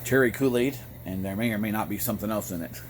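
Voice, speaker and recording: An American man with a fairly low voice talks off the cuff in a loose, rambling vlog style. His delivery is halting, with pauses between short phrases. There is noticeable noise in the recording. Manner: halting, frequent throat clearing between phrases. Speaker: amateur radio vlogger. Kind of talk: casual rambling monologue.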